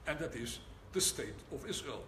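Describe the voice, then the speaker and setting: Older male lecturer, Dutch accent, dry wit, measured delivery, roomy hall with background noise. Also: mid-range voice, lively intonation. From Netherlands. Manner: wry, deliberate, pauses for laughs. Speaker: rabbi giving a lecture. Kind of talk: public lecture. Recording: echoey hall, audible room noise.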